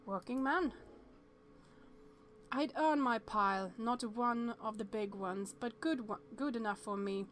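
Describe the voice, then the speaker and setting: A higher-pitched woman reads a visual novel aloud on stream at a desk mic. She trips over words now and then and breaks off into chatty asides. Faint noise sits behind her voice. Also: measured pace.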